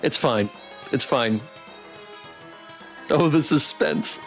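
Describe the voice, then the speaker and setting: A man livestreaming a visual novel, reacting and reading aloud in a playful, expressive way. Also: mid-range voice, slow, soft background music. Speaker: streamer playing a visual novel.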